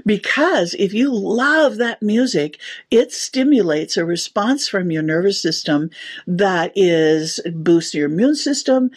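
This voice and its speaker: A woman's voice: medium pitch, animated, takes it slowly, slightly rough. A middle-aged woman, a sound therapist, explaining her work in an interview with enthusiasm and easy laughter. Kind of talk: interview answers.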